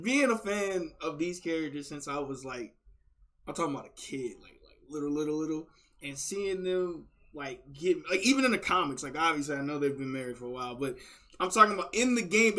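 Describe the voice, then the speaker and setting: A man's voice: on the high side, expressive, brisk, bright, forceful tone. A man hosting a reaction video, giving casual, rambling fan commentary in an effusive, slangy, repetitive way.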